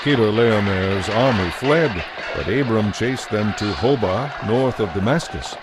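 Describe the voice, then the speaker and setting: A man narrating scripture from a script as a solemn, measured storyteller. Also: lowish voice, a loud crowd, heavy background noise.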